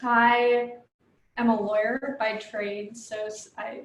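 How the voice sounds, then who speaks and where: A woman's voice: on the high side, level tone, takes it slowly, heavy background noise. Young lawyer lady, chatty, pokes fun at herself, echoey. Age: young adult. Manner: chatty, self-deprecating. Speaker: lawyer, lay delegate. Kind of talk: panel self-introduction. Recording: echoey room.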